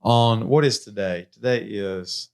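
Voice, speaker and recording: A man's voice: on the low side, measured pace, darkish tone, clear, resonant tone. A man hosting a podcast interview, asking questions casually and affirming his guests. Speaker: podcast host.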